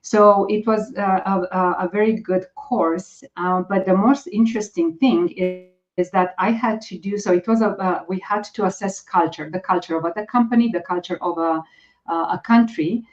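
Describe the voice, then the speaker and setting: A woman's voice: medium pitch, steady tone, moderate pace. A middle-aged woman with a Romanian accent, a guest coach talking casually and hesitantly, with many fillers and restarts. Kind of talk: casual interview talk. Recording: dull lo-fi audio.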